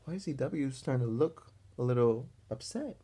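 A young man reading a picture book aloud to children as a teacher, pausing for encouraging asides and questions. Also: mid-range voice, expressive, warm tone, husky. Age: young adult. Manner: encouraging, asks listeners questions. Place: small room at home, indoors.

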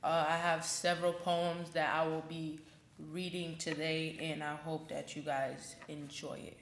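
A woman's voice: low voice, little pitch movement, unhurried. A young woman in her late teens, a student poet, informally introducing her reading at a microphone indoors. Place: indoor library event room. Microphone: microphone on a stand. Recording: echoey room with faint noise.

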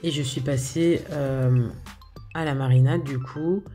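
A woman's voice: a bass voice, steady tone, a little slow, mellow, faint music behind. A woman talking casually over a video of her home cooking, hesitant, with lots of filler sounds and restarts. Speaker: home cook showing her recipes.

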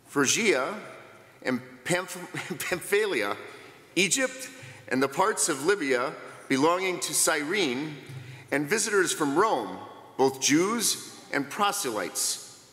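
Slow, expressive male scripture reader. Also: a little high. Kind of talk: scripture reading. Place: church sanctuary, at a lectern. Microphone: lectern mic. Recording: roomy church with long reverb.

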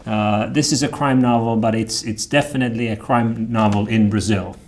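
A middle-aged man hosting an interview, speaking hesitantly with many pauses and restarts, in a small studio on a lapel mic. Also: on the low side, steady tone, darkish tone. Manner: hesitant, full of fillers and restarts, laughs easily. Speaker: interviewer host. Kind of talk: casual interview talk. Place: small studio with red curtain.

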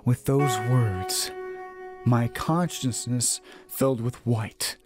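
A man's voice: mid-range voice, slow pace, over music. A man reading a visual novel aloud as dramatic narration, often stumbling and rereading his lines.

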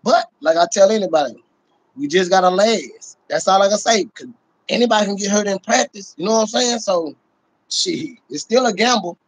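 Man, high voice, casual sports livestream talk, slang, frequent swearing, repeated catchphrases, phone recording indoors. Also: moderate pace, no room sound, rough recording. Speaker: livestream host and Cowboys fan. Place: small room indoors. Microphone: phone at close range.

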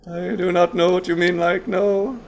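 High-voiced, stilted fake human. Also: male voice, fairly even intonation, normal speed, creaky. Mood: earnest, pedantic. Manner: formal, pedantic, literal-minded. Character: eager, stilted non-human newcomer posing as a human. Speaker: new pupil in an online acting class. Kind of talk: comedy audio drama acting.

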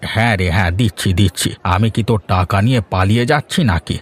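Husky, gruff Bengali cartoon customer, acted by a man. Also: fairly low pitch, brisk. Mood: grumbling. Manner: gruff, scolding, familiar. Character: blustering, suspicious Bengali husband shopping for fish. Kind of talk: cartoon voice acting.

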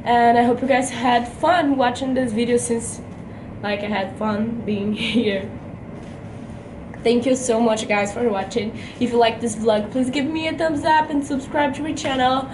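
A woman's voice: a little high, steady tone, measured pace, background noise. Young Brazilian woman vlogging to camera. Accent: Brazilian Portuguese. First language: Portuguese. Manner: chatty, frequent "like" fillers. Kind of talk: casual travel vlog to camera. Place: hotel room, indoors. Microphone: camera at arm's length.

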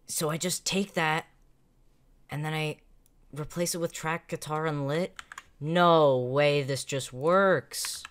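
A young man streams a video game from home, reacting live in casual slang. He is excitable and swears freely. His words come out slowly and drawn out. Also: fairly high pitch, clean tone. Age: young adult. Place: indoors, small home room.

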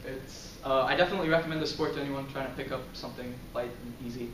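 A man's voice: mid-range voice, fairly even intonation, quick pace, background noise. A young man giving a casual class presentation about himself, with frequent ums and light chuckles. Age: young adult. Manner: casual, filler-heavy, chuckles often. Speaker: student presenter. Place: lecture room, in front of a projector screen. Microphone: far camera. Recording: roomy, distant camera audio.

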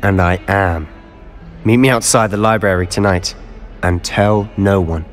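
An actor playing a confident, sly teenage British wizard student in a video game, with a cocky, conspiratorial manner. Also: male voice, low-pitched, measured pace, in a large hall, faint music behind. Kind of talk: video game cutscene acting.

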